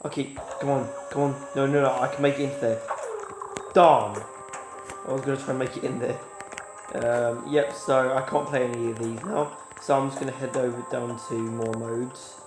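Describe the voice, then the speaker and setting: A man with a cold, sniffling, chatting casually to his viewers while he plays, and shouting when things go wrong. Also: medium pitch, little pitch movement, moderate pace. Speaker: gaming YouTuber. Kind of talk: casual gameplay commentary. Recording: roomy with loud game music.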